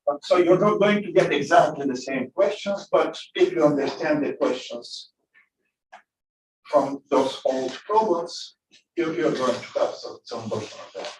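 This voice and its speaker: A man from Bulgaria teaching a physics class, talking in a halting way with many fillers and restarts. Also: fairly high pitch, darkish tone, slight background noise. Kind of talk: classroom lecture. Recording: echoey classroom, distant mic.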